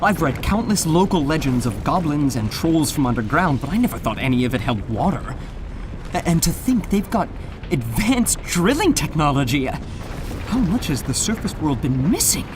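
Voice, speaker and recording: Bookish, quick-witted male adventurer, actor, articulate, higher register, for an adventure audio drama. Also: very animated, noisy background. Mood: amazed.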